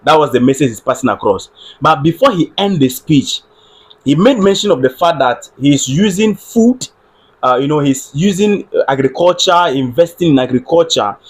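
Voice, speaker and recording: Husky Ghanaian man streaming commentary. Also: a little high, expressive, moderate pace. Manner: earnest, repeats phrases, checks on his audio with viewers. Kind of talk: solo livestream political commentary.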